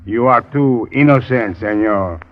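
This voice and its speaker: An actor playing a stubborn, suspicious police sergeant in an old radio drama, speaking curt broken English with a Latin American Spanish accent. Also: male voice, mid-range voice, measured pace, lightly acted. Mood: dismissive, suspicious. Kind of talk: 1940s-50s radio drama acting. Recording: dull vintage radio recording.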